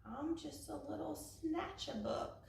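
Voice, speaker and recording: A woman's voice: high-pitched, slow, vocal fry, darkish tone, slight background noise, voice pushed up, full character voice. A woman voicing a small, lonely male storybook creature with a little, mournful, apologetic voice. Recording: echoey room, distant from the mic.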